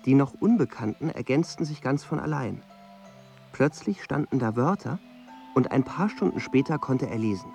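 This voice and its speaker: A man narrating a radio play in a measured, storytelling way. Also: medium pitch.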